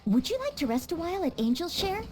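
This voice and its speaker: A dutiful young maid knight, polite, formal and eager to help. Her voice is high and mellow with lively pitch, and faint game music plays behind her. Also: quick pace. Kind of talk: video game voice acting. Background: soft game music.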